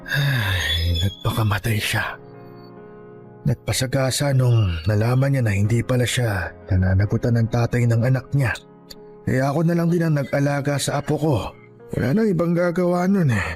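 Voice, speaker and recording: An actor playing a poor, weary elderly grandfather who sells peanuts, gentle and hesitant, with a Filipino accent, in a radio drama. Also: medium pitch, moderate pace, soft background music, natural voice. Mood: sorrowful, resigned. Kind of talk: Tagalog radio drama acting.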